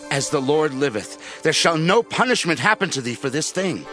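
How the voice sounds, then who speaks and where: A man's voice: on the high side, very animated, normal speed, a bit bright. An actor playing a desperate, fearful king with an impassioned, theatrical delivery in a scripture drama. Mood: urgent, pleading. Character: desperate, fearful king seeking forbidden counsel. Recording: music bed behind the voice.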